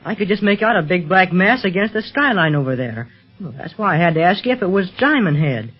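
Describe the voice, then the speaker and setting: A man's voice: high voice, animated, fairly quick, slightly rough, soft background music. Casting: plucky, cagey boy hero for a vintage radio serial, dull old recording. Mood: guarded. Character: eager, evasive boy adventurer in disguise.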